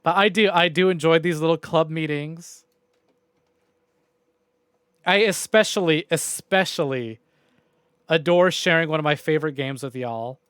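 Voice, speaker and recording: A man with a fairly high-pitched voice talks casually to his livestream viewers. His delivery is rambling and informal, with loose phrasing and the odd mild swear. The sound is dry, with no room echo. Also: a little slow, long pauses, fairly bright tone, smooth. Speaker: video game livestreamer. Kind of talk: casual chatty stream sign-off to viewers.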